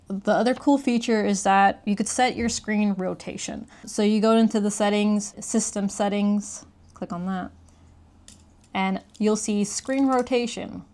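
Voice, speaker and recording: A woman's voice: mid-range voice, darkish tone. Casual woman walking through a gadget. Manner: explains step by step, conversational. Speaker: product reviewer. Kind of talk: casual hands-on gadget review chat.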